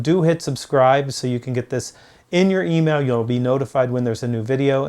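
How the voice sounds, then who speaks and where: A man hosting a business advice video, speaking straight to the viewer in an emphatic, motivational way with deliberate repetition. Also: mid-range voice, darkish tone. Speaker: host, sales author and coach.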